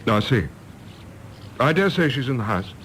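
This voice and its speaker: A man's voice: mid-range voice, sing-song, moderate pace, a bit bright, slight background noise. A man with an English accent playing an irritable, pompous diplomat son in a radio drama, clipped and formally polite. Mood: aggrieved, aloof. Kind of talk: stage-play radio drama acting.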